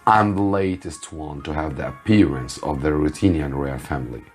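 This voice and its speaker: A man, an Arabic speaker, reading a story to students as a teacher, with deliberate theatrical emphasis. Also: rumbling low, soft background music. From Egypt. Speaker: English teacher.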